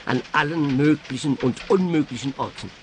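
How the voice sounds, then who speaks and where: Schauspieler als ernster Schrottplatzchef, leichte Hintergrundgeräusche. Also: male voice, mid-range voice, normal speed, slight background noise, natural voice. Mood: aggrieved, firm. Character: earnest, matter-of-fact male scrapyard boss. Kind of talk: radio play dialogue.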